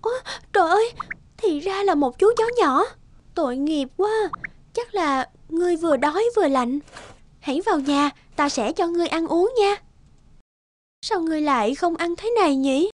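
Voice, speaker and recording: High-voiced gentle peasant girl. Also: lively intonation, normal speed. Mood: gentle pity. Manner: gentle, earnest, easily startled. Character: kind-hearted, timid young peasant girl. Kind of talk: cartoon fairy tale dubbing.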